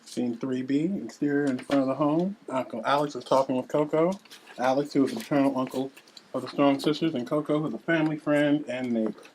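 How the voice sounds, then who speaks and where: A woman's voice: a bass voice, steady tone, a little fast, darkish tone, a little hiss or hum, rough recording. A woman reading scripted scene narration and stage directions at a table read.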